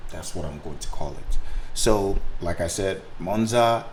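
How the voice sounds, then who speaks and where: A man with a very deep voice and a Nigerian accent, a native Igbo speaker, chats off the cuff to his viewers. His delivery is rambling and hesitant, and there is some faint noise in the recording. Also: a little slow, rough recording. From Africa. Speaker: sim racing video creator and driver. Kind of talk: casual, unscripted explanation to viewers.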